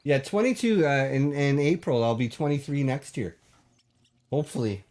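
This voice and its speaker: A man hosting a call-in show, bantering deadpan with a caller, full of hesitations and restarts. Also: medium pitch, moderate pace. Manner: hesitant, full of ums and restarts, straight-faced.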